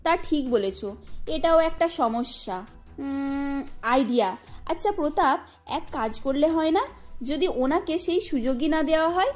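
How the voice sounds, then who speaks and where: Woman acting a high-pitched, scheming young wife. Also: lively intonation, measured pace, faint music behind. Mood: nagging, sly. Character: scheming, sharp-tongued young wife and daughter. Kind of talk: cartoon story voice acting.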